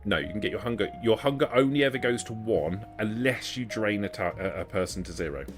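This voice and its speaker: A man's voice: fairly low pitch, expressive, brisk, soft background music. A man as game master, narrating and explaining a tabletop roleplaying game in an explanatory, improvised way.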